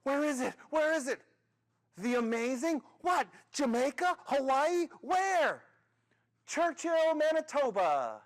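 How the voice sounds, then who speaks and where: Man playing a screaming high-pitched store manager. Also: animated, quick pace, hardly any pauses. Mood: excited announcing. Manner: screaming, emphatic. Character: excited, loud, brusque male store manager.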